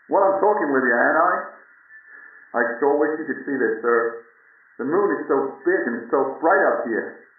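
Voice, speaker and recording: A man's voice: a little high, expressive, normal speed. An actor playing a folksy male space crewman talking over a radio link. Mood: matter-of-fact. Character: male American astronaut and mission controller, folksy. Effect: radio filter. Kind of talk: radio transmission acting. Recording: tinny, echoey 1970s space radio.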